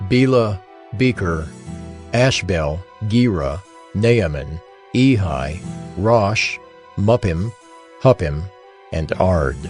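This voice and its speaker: A low-pitched male narrator reads very slowly and names each person in turn. His intonation stays expressive throughout the list. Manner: deliberate, listing names one by one. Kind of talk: scripture reading of a genealogy.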